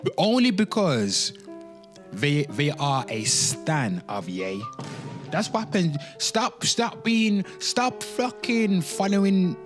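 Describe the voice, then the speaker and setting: A man's voice: high voice, sing-song, takes it slowly, over music. A man hosting an online show, mixing casual, rambling, slangy commentary with dramatic reading aloud and repeating phrases for emphasis. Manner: slangy, frequently swearing, addressing listeners as people.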